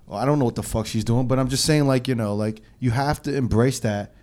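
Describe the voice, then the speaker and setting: A man's voice: medium pitch, brisk, darkish tone. A man from New York, a podcast host, talking emphatically in a casual group chat and often restarting his sentences. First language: English.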